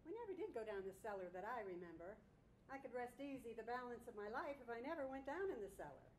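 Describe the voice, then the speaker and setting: Woman playing exasperated wife, lively high voice. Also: fast talker, slight background noise, rough recording. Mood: dismissive. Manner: dismissive, complaining. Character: unimpressed middle-aged wife, stubborn, exasperated. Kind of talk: staged reading of a comic short story.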